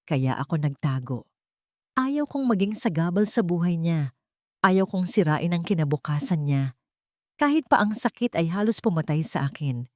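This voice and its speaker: Lowish-voiced woman narrating a dramatic story. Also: expressive, normal speed, no room sound, studio quality. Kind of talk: dramatic first-person scripted narration.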